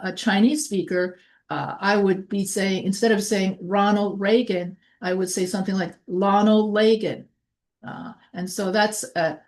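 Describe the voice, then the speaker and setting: A woman teaching a college course in a recorded lecture, her voice sitting in a mid range. She reads definitions in an even, measured way. Then she explains them casually, with fillers and restarts. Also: medium pitch. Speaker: college instructor. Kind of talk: lecture reading from notes.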